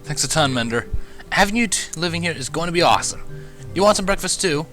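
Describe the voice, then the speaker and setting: A man voicing an eager, friendly little boy dragon with excitable, slangy, chatty delivery in a fan fiction audiobook. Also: a little high, fast talker, faint music behind, voice pushed up. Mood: cheerful, grateful.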